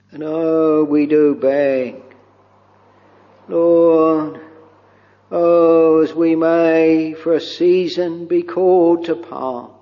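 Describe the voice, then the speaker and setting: Man, pulpit prayer, intoning and pleading, fairly high voice, dull old recording. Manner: slow, pleading, intoning with many "oh" and "Lord" interjections. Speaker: minister leading prayer. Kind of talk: extempore pulpit prayer in old-fashioned English. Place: church or chapel. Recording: dull lo-fi recording with long hall reverb.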